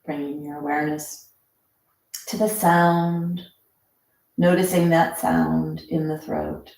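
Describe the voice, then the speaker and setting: A woman with a low-pitched voice guides a breathing meditation. She speaks very slowly and gently, leaving space between short instructions. The sound is roomy and echoing. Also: expressive. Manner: gentle, instructing softly with pauses.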